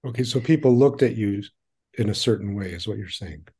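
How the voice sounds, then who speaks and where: A man hosting an interview, asking questions in a measured way and restating his guest's answers to make them clear. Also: on the low side, animated, dark tone. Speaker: interviewer host. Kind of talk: conversational interview.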